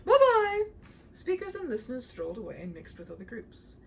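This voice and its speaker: Woman reading a story out loud, really lively pitch, chatty asides, bit of background noise. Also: fast talker, gravelly. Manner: animated, chatty when stepping out of the text. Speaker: audiobook reader and host of the channel. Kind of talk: expressive storybook read-aloud with casual asides.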